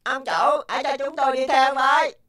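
Con vật nhỏ trung thành, giọng sáng. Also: female voice, high voice, fairly even intonation, a little fast, a bit bright, no room sound, studio quality. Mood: eager. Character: small, loyal, eager animal helper. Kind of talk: cartoon fairy-tale dubbing.

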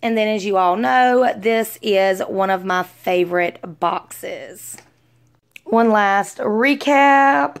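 A woman's voice: medium pitch, takes it slowly, clear, resonant tone. A young adult woman with a Southern US accent, chatting casually as she vlogs on a phone at arm's length. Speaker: vlogger. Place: small home rooms and inside a car.

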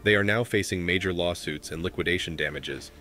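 A man in his thirties hosting a commentary show, reading posts aloud in a steady, measured way. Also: sits low, soft background music. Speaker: commentary host.

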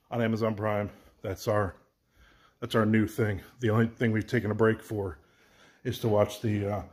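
Man, forties, casual blunt rambling update, phone at arm's length, middle pitch. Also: darkish tone. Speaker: author vlogger. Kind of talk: casual walk-and-talk update. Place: home, indoors and backyard. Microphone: phone held at arm's length.

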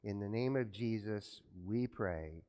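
A pastor giving a children's sermon in a church, telling an animated story and asking the children questions. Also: male voice, on the low side, unhurried, clear, resonant tone. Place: church sanctuary. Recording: echoey church from far off.

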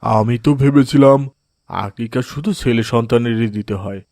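A man's voice: mid-range voice, normal speed, a little mellow, no room sound, studio quality, close to an everyday voice. A man voicing an adult father in a cartoon, dejected and easily swayed, with a hesitant, earnest manner. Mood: resolved. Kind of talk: cartoon voice acting in moral story.

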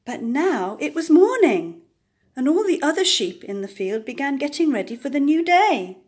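An older woman reading a storybook aloud to young children, deliberate and expressive, with dramatic emphasis. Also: high voice.

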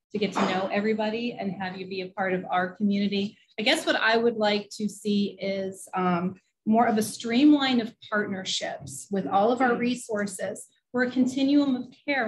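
A woman's voice: medium pitch, a little hiss or hum, rough recording. Upbeat businesslike woman at a meeting. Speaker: sales director. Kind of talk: self-introduction at a meeting. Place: senior living common room. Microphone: camera across the room.

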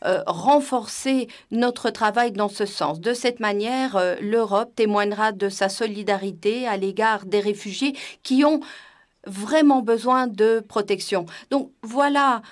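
A woman working as a conference interpreter, her voice sitting at an ordinary middle pitch. She speaks slowly and hesitantly, filling gaps with drawn-out pauses as she renders a webinar talk. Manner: hesitant, frequent filler pauses.

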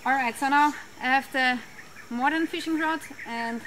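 A woman's voice: high voice, moderate pace, a bit bright. A woman in her thirties chatting casually as host of an outdoor vlog. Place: outdoors in dense jungle by a river. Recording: clear insect, bird and forest noise.

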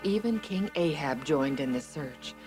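A woman narrating a scripted children's story with simple, expressive phrasing. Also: low-pitched, measured pace, over music.

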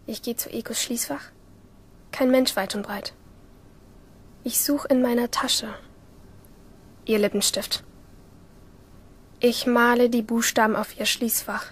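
A woman acting a resentful, obsessive teenage schoolgirl who narrates in a radio play, with a husky voice. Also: on the high side, fairly even intonation, slow pace, long pauses. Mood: resentful, tense.

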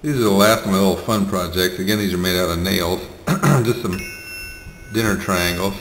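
A middle-aged man with a deep, gravelly voice talks through his home projects in a plain, halting way, full of fillers. The room is bare and echoey with a long reverb tail, and a faint hum of ambience sits behind him. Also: steady tone. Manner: hesitant, plain-spoken, many pauses and fillers. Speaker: hobby welder showing his projects. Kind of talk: casual show-and-tell narration.

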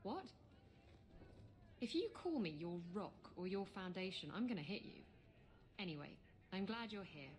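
An actress playing a flirtatious, irreverent female seafaring adventurer in a video game, with a teasing, unhurried delivery. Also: mid-range voice, sing-song, a little hiss or hum. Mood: teasing gratitude. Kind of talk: video game dialogue acting.

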